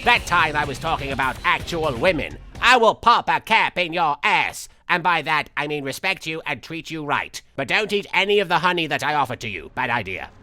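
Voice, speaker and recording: A man's voice: on the high side, animated, a little fast, few pauses, pushed and bright, no echo. A man voicing a silly, self-absorbed young cartoon villain who whines and rambles theatrically through comedic video game commentary, with music behind him. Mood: goofy bragging. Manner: whiny, theatrical, rambling absurd asides. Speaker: in-character let's play host.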